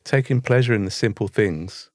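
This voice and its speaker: A man's voice: lowish voice, moderate pace, darkish tone, no room sound, studio quality. A man with a British accent chatting informally as a podcast co-host. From Britain. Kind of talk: casual chat.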